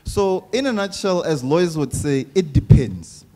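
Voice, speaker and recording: A young man speaking for the youth on a panel, earnest and measured. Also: on the high side, lively intonation, warm tone. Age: young adult. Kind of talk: panel discussion.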